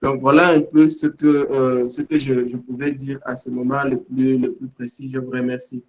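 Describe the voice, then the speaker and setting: A man sharing his thoughts in a panel discussion, halting and full of fillers and repeated words. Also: mid-range voice, flat delivery, a little mellow, poor audio. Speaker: panel participant. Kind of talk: hesitant spoken reflection.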